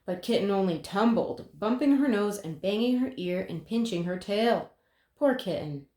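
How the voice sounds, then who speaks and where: A woman reading a children's picture book aloud in an expressive way, with dramatic pauses. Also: mid-range voice. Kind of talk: children's story read-aloud. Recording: roomy, echoing sound.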